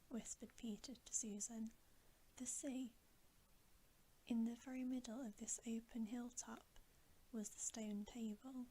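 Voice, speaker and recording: Slow, whispering woman reading a bedtime story. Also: fairly high pitch, low-quality recording. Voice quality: soft, breathy whisper. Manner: slow, gentle, soothing delivery. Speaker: ASMR bedtime story reader.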